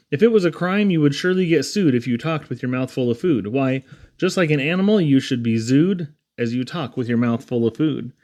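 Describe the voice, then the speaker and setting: A man reading humorous children's poetry aloud in a theatrical, rhythmic, expressive way. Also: mid-range voice. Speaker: poetry reader.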